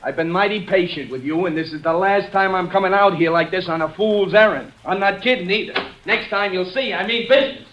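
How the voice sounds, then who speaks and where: A blustering, impatient creditor played by a man threatens in a high-pitched voice. It is 1940s radio drama acting, on an echoey old recording. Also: brisk, few pauses, lo-fi sound, slight background noise, close to an everyday voice. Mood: threatening. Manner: blustering, menacing. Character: impatient, threatening male creditor demanding his money.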